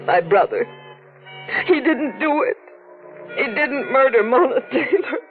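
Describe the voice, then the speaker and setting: A woman's voice: high voice, very animated, a little slow, exaggerated voice. A distraught young woman in a 1940s radio drama. She is earnest and imploring, urgently pleading. The old recording is muffled, with faint music behind her. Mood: distraught, insistent. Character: distraught, pleading young sister of a condemned man. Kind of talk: 1940s radio melodrama acting.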